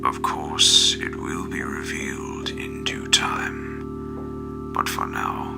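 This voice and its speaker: Creepy old alien overlord, whispery and slow, super gravelly, comic dub with music behind. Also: male voice, extremely low pitch, animated, heavily acted. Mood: cold, commanding. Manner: slow, menacing, deliberate. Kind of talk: fan comic dub acting.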